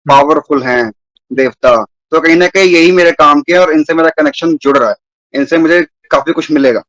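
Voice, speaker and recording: A man's voice: a little high, brisk, a bit bright, dry recording, low-quality recording. A man from New Delhi in his thirties, telling his personal story earnestly and at length as a guest on a video call. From New Delhi, India. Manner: earnest, rambling, mixes English words into speech.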